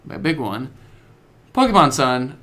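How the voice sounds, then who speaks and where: A man's voice: medium pitch, sing-song, a little slow, sharp tone. A man chatting casually as a podcast co-host about video games, telling stories in slangy, informal language.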